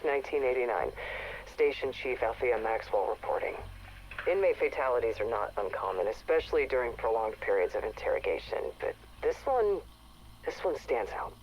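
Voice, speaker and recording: An actress playing a hard-bitten, callous station chief who dictates a report in a dry, clipped way for a game audio log. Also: female voice, a bass voice, darkish tone, slight background noise, poor audio.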